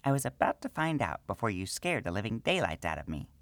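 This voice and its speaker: A man's voice: fairly low pitch, big pitch swings, fast talker, a bit bright, no echo, pitched above the performer's own voice. A man voicing a jumpy, scolding middle-aged female gnome priestess in a storybook reading. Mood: brisk.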